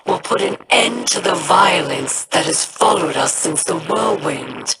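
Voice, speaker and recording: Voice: mid-range voice, animated, unhurried, bright, forceful tone, a little hiss or hum, low-quality recording. A scornful alien warlord. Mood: menacing. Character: menacing alien pirate warlord, proud and scornful.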